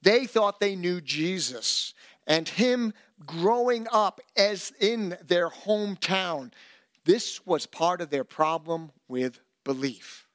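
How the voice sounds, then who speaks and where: A middle-aged man preaching a sermon as pastor, emphatic and animated, with self-deprecating humor. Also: high voice, takes it slowly, no echo, clean studio sound.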